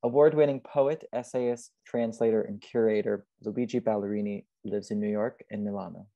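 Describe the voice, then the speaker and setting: Creaky, low-voiced young man hosting. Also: fairly even intonation, moderate pace, dark tone, no echo, muffled recording. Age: young adult. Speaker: event host introducing a poet. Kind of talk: spoken introduction. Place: indoors on video call. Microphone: wired earphones mic.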